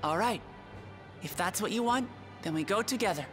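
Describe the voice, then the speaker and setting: An actress playing a straightforward, decisive young adventurer in a video game, speaking plainly and directly. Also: female voice, medium pitch, expressive, normal speed, soft background music, natural voice. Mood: resolute, supportive. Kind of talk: dramatic video game voice acting.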